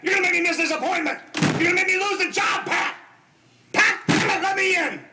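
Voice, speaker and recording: An actor playing a self-pitying husband who swings from whining to shouting in a comedy scene. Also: male voice, sits high, sing-song, normal speed, a loud crowd, very noisy. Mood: furious. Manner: melodramatic, whining then shouting. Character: self-pitying, explosive middle-aged husband.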